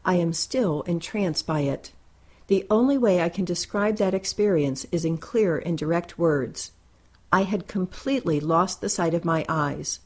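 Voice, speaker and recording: Low-voiced woman reading an essay. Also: measured pace, a little mellow, slightly rough. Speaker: reader. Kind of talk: reading a first-person essay aloud.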